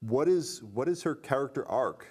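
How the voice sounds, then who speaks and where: A man's voice: medium pitch, animated, moderate pace, darkish tone, slightly rough. A man hosting a casual film discussion, talking in a rambling way full of asides and questions. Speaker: podcast host.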